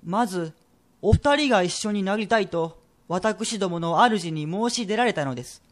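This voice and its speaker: A deferential head clerk of an old merchant house, played by a man in a period radio drama. He has a high voice and speaks earnestly, with humble courtesy. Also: lively intonation, moderate pace. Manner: humble, very polite speech.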